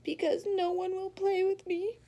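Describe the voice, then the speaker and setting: A woman's voice: extremely high pitch, little pitch movement, a little slow, mellow, muffled recording, voice pushed up. A woman voicing a sad, lonely little female caterpillar from a children's storybook in a tearful, whining manner.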